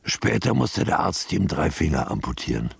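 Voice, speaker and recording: A man serves as the narrator of a literary radio drama. His voice is extremely deep and gravelly, with animated rises and falls over prominent music. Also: normal speed, dry recording.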